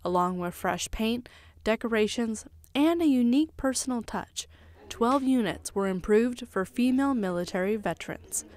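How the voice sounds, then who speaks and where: Woman reporting news in measured tones. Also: on the high side, animated, darkish tone, dry recording. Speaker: television reporter. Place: television studio.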